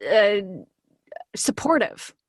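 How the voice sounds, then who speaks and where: A woman's voice: mid-range voice, slow pace, breathy edge, dry recording. A woman guest on a podcast chatting casually and effusively, theatrically acting out little quotes and repeating words for emphasis. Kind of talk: casual chat, telling anecdotes.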